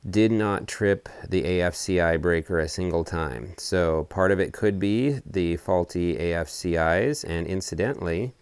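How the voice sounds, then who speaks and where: A man presenting a casual explanatory hobby video update outdoors, talking in deliberate, measured phrases. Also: sits low, smooth, dry recording. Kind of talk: casual explanatory vlog talk. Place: outdoors on a house deck.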